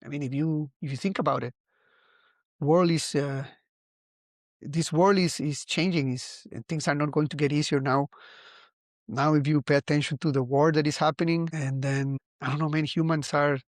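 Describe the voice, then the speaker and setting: A man's voice: a little high, dry recording, studio quality. Musing Costa Rican man in his forties. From Costa Rica. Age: 40s. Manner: musing, halting, many restarts. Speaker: vlogger. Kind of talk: reflective casual monologue.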